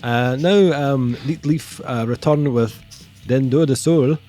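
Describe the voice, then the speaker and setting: A man's voice: medium pitch, warm tone, faint music behind. A young man reviewing an album on a podcast, talking casually with lots of ums and joking at himself. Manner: halting, lots of ums, jokey self-mockery.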